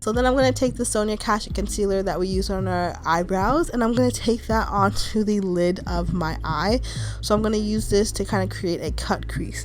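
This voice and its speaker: A woman's voice: mid-range voice, a little mellow. A young woman with a stuffy nose from a cold, narrating a makeup tutorial in a chatty, reassuring, casual way. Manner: chatty, reassuring, with frequent "like" and "kinda". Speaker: beauty vlogger.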